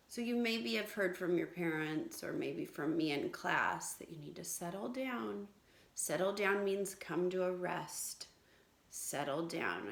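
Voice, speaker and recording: A middle-aged American woman, a teacher, reading aloud to young children in a clear, simple, explaining way. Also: fairly low pitch. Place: small indoor room at home, seated on a bench. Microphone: camera or phone a short distance away. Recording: echoey room.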